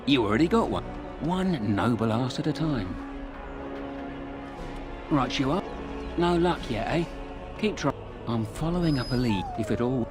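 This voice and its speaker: Actor as a sly informant, mid-pitched, over music. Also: male voice, lively intonation, a little slow, long pauses, natural voice. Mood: brisk, sly. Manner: chummy, sly, colloquial. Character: conspiratorial male informant selling tips to the rebels. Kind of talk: video game character dialogue. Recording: game music behind the voice.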